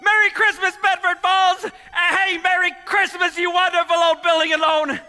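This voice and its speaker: A man's voice: little pitch movement, moderate pace, sharp tone, heavily acted. A man playing an emotional family man in an old-time radio play, exclaiming and repeating himself. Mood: giddy joy. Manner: highly emotional, exclaiming, repetitive. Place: live stage in a hall. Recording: echoey hall, live stage taping.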